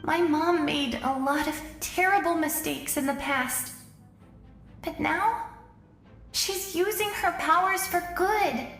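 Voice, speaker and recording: A small, earnest girl with a very high, childlike voice. She is sweet and brave, speaking slowly in a game cutscene, a little distant and echoey. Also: fairly bright tone, faint music behind, exaggerated voice. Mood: earnest, hopeful. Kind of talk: video game voice acting.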